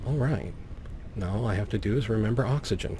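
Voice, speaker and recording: A man's voice: fairly low pitch, fast, mellow. A man giving casual, playful gameplay commentary as a let's play host, rambling with wry asides. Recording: faint background noise.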